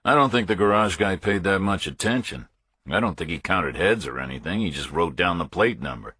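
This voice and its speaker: Man playing terse, hard-boiled operative, very deep voice. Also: fairly quick, husky, dry recording, exaggerated voice. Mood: calm, decisive. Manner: terse, flat, resolute. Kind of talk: audiobook character dialogue.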